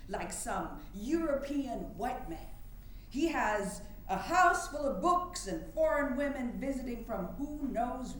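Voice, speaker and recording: A woman's voice: a little high, fairly bright tone. A woman playing an elderly, plainspoken and proud activist with a wry, folksy manner in a staged play reading. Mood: indignant. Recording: echoey room with faint noise.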